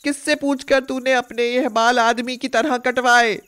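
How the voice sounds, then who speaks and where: Exasperated nagging mother , voiced by a woman, sarcastic scolding in a slightly high voice, for a cartoon morality tale. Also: fairly even intonation, unhurried, a bit bright. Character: scolding, exasperated poor widowed mother of grown daughters. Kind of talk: animated moral story dialogue.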